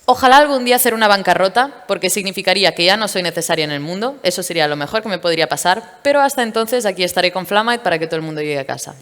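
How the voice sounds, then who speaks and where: A woman from Portugal, a startup founder, speaking candidly and in a lively way during a stage interview at a tech event. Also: mid-range voice, racing, hardly any pauses. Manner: candid, lively storytelling with fillers. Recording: reverberant event hall.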